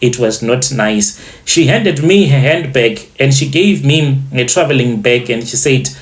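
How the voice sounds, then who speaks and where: A man's voice: medium pitch, expressive, unhurried. Man telling a dramatic story. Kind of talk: dramatic first-person scripted story narration.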